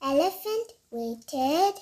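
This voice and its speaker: Very high-voiced, very slow female storyteller. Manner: expressive, deliberate delivery for young children. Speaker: children's story reader. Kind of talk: read-aloud picture book storytelling.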